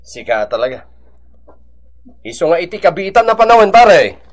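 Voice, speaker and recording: A man with an Ilocano accent playing a chatty, excitable drinking buddy in a radio drama. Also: high voice, normal speed. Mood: wary, advising.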